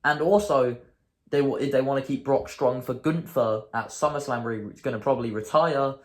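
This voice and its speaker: A hoarse man hosting his own show, chatting casually and thinking aloud, often changing his mind mid-sentence. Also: medium pitch, normal speed, roomy sound. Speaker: host. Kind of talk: casual solo commentary.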